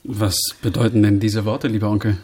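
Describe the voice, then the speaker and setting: A man playing a polite, naive young gentleman in a radio drama, with a slightly husky voice. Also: lowish voice, level tone, a little fast. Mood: bewildered, earnest.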